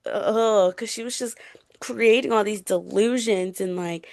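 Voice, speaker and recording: A woman's voice: mid-range voice, unhurried, vocal fry, no echo, studio quality. A young woman co-hosting a casual book chat in an informal, emphatic, opinionated way. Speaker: book podcast co-host.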